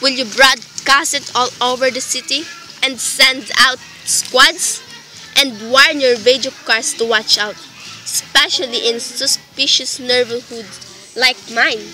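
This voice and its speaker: A woman's voice: high-pitched, fairly even intonation, slow pace, bright, forceful tone, heavily acted, rough recording. A woman playing an anxious, demanding invalid wife in a radio play, fretful, pleading and dramatic.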